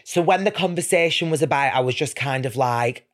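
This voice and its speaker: A man's voice: mid-range voice, a little fast, fairly bright tone. A young man, chatting casually as a guest in a camp, gushing, exaggerating manner. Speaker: podcast guest, panto performer. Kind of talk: casual chat.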